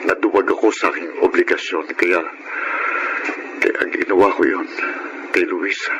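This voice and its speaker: An actor with a Filipino accent playing a gentle, remorseful middle-aged funeral parlor owner in a radio drama, earnest and halting. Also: male voice, low voice, fairly even intonation, dull audio. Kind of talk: Tagalog radio drama acting. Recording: noisy radio drama track.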